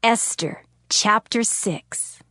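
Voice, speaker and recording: Measured female scripture narrator. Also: mid-range voice, bright, forceful tone, no room sound. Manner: measured, formal.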